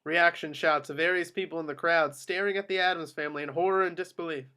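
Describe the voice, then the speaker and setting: A man's voice: on the high side, steady tone, fast talker, pushed and bright, clean tone. A man reading out screenplay action directions as scripted narration at a table read. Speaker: action-line reader.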